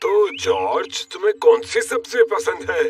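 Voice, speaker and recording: A man's voice: high voice, measured pace, slight background noise. A man voicing a hearty, kindly old grandfather pig in a children's cartoon dub. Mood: puzzled, hopeful. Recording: distant-sounding, loud music behind.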